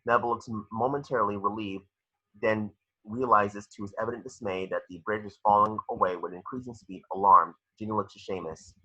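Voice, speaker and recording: A man's voice: fairly low pitch, steady tone, brisk, sharp tone, husky, lo-fi sound. A man reading stage directions aloud as narrator in a dramatic screenplay read-through.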